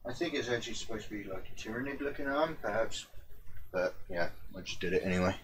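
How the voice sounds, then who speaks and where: A man's voice: on the low side, quick pace, far from the mic, background noise. A man hosting a live stream, casually talking through his moves in a tabletop game with frequent hesitations. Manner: relaxed, hesitant, lots of uh. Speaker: stream host and tabletop player. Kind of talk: casual livestream game commentary.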